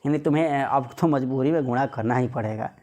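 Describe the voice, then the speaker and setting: A man's voice: medium pitch, a little fast, darkish tone. A man teaching an informal exam lesson, repeating phrases and putting rhetorical questions to students. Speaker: maths exam coach.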